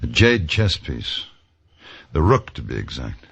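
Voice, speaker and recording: A cool, laconic gunfighter of the Old West, with a very low, husky voice and dry, courteous wit. It comes from a dull vintage radio western. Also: male voice, big pitch swings, measured pace, fairly bright tone, heavily acted. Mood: guarded. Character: cool, wry hired gunman of the Old West.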